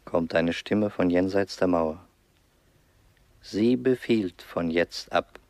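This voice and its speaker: An actor playing a solemn chronicler, narrating a scripted German-style radio play. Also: male voice, sits low, slow, a little mellow, no room sound. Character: solemn male chronicler of a Roman funeral.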